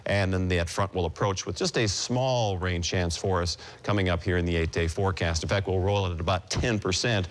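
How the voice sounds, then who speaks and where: A man with an American accent delivers a television weather report. His voice sits on the low side, and he talks through the forecast in an unscripted way. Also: a little fast, hardly any pauses.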